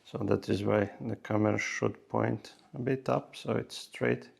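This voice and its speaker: Slow, very creaky-voiced man explaining. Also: lowish voice, fairly even intonation. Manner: non-native English phrasing, explains step by step. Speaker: host of a motorcycle gear how-to video. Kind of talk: casual hands-on tutorial talk.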